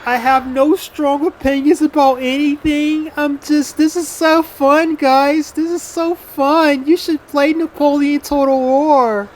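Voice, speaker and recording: A man putting on a high, over-excited voice to mock a shallow casual male gaming YouTuber. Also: slow, few pauses, slight background noise. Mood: giddy, gushing. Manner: breathless, over-excited gushing.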